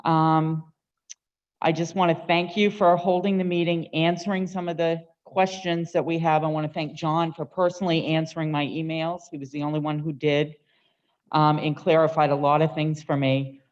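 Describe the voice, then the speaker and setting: A woman making a loud, firm public comment as a resident at a town meeting. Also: deep voice, flat delivery, moderate pace, highs cut off. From Maine, USA.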